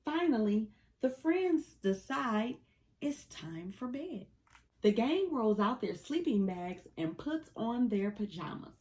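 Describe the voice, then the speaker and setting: Woman reading a kids story out loud, nice and slow, really up and down voice. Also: on the high side. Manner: animated, deliberate storytelling for young children. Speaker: children's storyteller. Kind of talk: expressive scripted read-aloud of a picture book.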